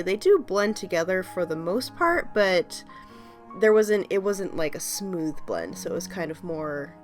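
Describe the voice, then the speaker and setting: A woman hosting her art video, talking in a casual, rambling voice-over with many ums and small laughs, her voice still slightly scratchy from a cold. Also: medium pitch.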